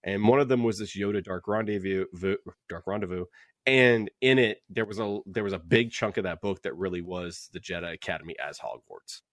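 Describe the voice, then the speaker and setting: A man co-hosting a casual book club podcast, talking in an animated, rambling, joking way. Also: low-pitched, fairly quick, no echo, clean studio sound. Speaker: podcast co-host.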